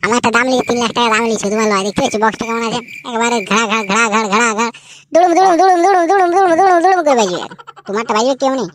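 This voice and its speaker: A woman voicing a boastful young male village DJ with a Purulia Bengali dialect, bragging loudly and mimicking booming bass sounds. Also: very fast, slight background noise, lightly acted. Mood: boasting. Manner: loud, bragging, singsong bass noises.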